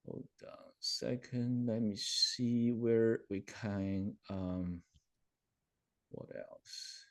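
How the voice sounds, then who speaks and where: A man hosting a webinar, talking a live software demo through with many ums and self-corrections, often checking in with his listeners over a video call. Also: fairly low pitch, steady tone, very slow. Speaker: webinar host.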